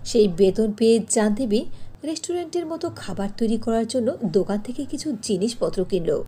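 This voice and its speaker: A woman narrating a cartoon story in an expressive storytelling manner. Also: a little high, husky.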